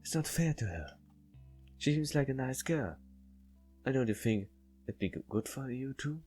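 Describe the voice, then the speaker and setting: A man's voice: medium pitch, very animated, unhurried, darkish tone, slightly rough, soft background music, voice pushed up. A man voicing a caring, insistent woman friend in a visual novel, earnest and coaxing.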